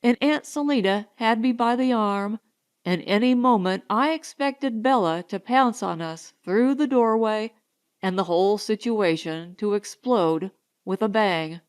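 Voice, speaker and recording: A woman's voice: mid-range voice, unhurried, clean tone. A woman reading first-person narration from a comic novel as an audiobook narrator.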